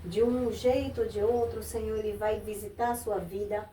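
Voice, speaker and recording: A woman's voice: medium pitch, fairly even intonation, normal speed. Affirming Brazilian woman hosting a faith podcast. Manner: affirming, frequent amens, gentle preaching tone. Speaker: podcast host. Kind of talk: religious interview. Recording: echoey room, voice a bit far from mic, faint noise.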